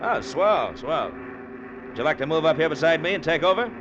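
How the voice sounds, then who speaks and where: A man's voice: on the high side, animated, a little fast, noisy background, close to an everyday voice. An American actor playing an easygoing, wry barnstorming pilot who tells his story in casual slang in a 1940s radio drama. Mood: breezy, amused. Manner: casual, colloquial, folksy slang. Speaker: actor and narrator. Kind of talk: 1940s radio drama acting with first-person narration. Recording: dull vintage radio recording with loud music.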